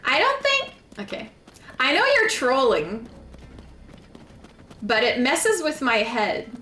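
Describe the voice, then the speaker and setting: Bright-voiced woman streaming games. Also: sits high, wildly swooping pitch, slow pace, a little hiss or hum. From Quebec, Canada. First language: French. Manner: chatty and rambling, with frequent "like" fillers. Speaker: game streamer. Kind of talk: casual chat while gaming. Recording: echoey room.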